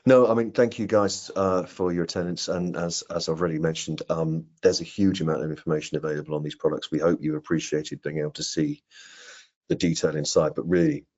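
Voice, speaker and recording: A middle-aged man answering technical questions in an online webinar, explaining things in a measured, careful way. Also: very deep voice, steady tone, a little mellow. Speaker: product presenter answering questions. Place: indoor room on a video call. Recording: muffled video-call audio.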